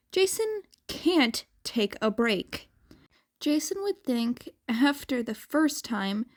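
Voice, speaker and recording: A woman's voice: a little high, darkish tone. A woman reading a fan fiction story aloud as an amateur narrator.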